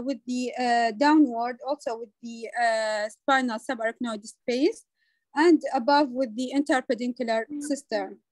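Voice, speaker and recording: High pitched lady doing a medical lecture, lots of hesitating, pretty dry close sound. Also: a little mellow, dry recording. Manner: halting, hesitant delivery with frequent filled pauses. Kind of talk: slide lecture on anatomy.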